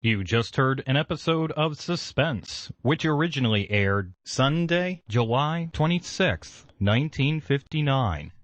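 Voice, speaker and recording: A man giving a modern radio station announcement in a deliberate way. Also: mid-range voice, expressive, no echo. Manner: slow, deliberate.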